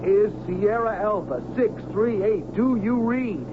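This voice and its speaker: Tinny, noisy vintage radio sound carries a plucky male pilot. His voice is fairly high, brisk and take-charge, in classic radio drama style. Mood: confident, breezy. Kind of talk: old-time radio drama acting.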